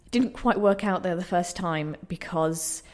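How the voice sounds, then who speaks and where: A woman's voice: lowish voice, measured pace. A young woman talking casually as a radio interview guest, telling personal stories in a modest, good-humoured, slightly nervous way. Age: young adult. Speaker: interview guest, playwright and actor. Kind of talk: casual, chatty personal storytelling. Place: radio station.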